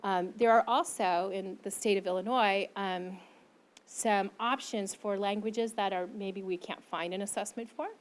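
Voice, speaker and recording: A middle-aged woman speaks to a conference audience through a handheld microphone. She explains at length in an earnest way, restarting phrases and filling pauses with frequent ums. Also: medium pitch, fairly even intonation. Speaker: invited presenter, language teacher. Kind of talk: conference presentation and Q&A.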